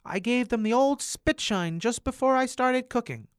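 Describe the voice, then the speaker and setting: A woman's voice: fairly high pitch, animated, normal speed, dry recording, studio quality, close to an everyday voice. A woman playing an earnest, filthy schoolboy cook who is eager to please, in a comic radio play. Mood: eager, proud.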